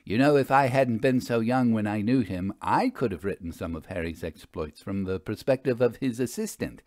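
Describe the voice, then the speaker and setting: A man's voice: on the low side, expressive, moderate pace, darkish tone, dry recording, clean studio sound, close to an everyday voice. A man voicing a spirited, opinionated older woman who investigates ghosts, speaking with theatrical emphasis in a story reading. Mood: musing. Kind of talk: audiobook character dialogue.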